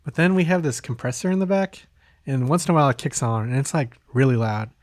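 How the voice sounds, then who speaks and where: A man's voice: medium pitch, animated, quick pace, no echo, clean studio sound. A man hosting a podcast in casual conversation, hesitant and meandering, often trailing off between thoughts. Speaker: podcast host. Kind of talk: casual chat.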